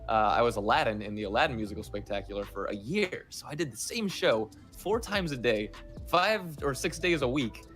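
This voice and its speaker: Animated man chatting over music. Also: mid-range voice, brisk. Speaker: voice actor, interview guest. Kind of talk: casual interview chat. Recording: music playing behind the voice.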